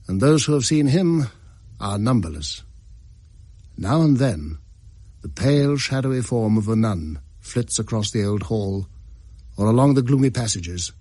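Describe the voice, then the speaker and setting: An actor playing a grave upper-class gentleman in a period ghost story audio drama, husky, formal and measured in manner. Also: medium pitch, no echo. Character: grave upper-class gentleman brother recounting a dark legend.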